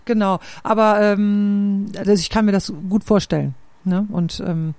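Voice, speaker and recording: A woman's voice: mid-range voice, fairly even intonation, unhurried, a little mellow, no echo. A woman, hosting a casual conversation and thinking aloud, often searching for words with many fillers. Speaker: podcast host and singer.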